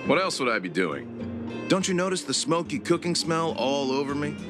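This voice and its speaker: An actor playing a gruff, sly, easily affronted camp cook in a video game, theatrical and self-righteous. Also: male voice, on the high side, big pitch swings, moderate pace. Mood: grudging, explaining. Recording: game music behind.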